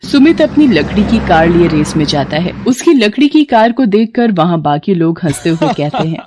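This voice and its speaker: A woman's voice: on the low side, very animated, normal speed, mellow, husky. A woman giving scripted narration of a moral story.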